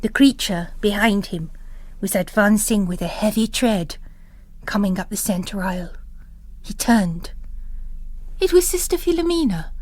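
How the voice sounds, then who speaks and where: A woman's voice: mid-range voice, a little mellow, no room sound. A woman reading literary fiction as a narrator, in a dramatic audiobook style.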